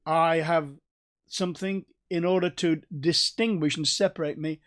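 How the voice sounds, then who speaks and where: A man with a North Staffordshire accent giving a recorded Bible study in a conversational way, with restarts and gentle asides. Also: a little high, steady tone, measured pace. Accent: North Staffordshire English. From England. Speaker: Bible teacher.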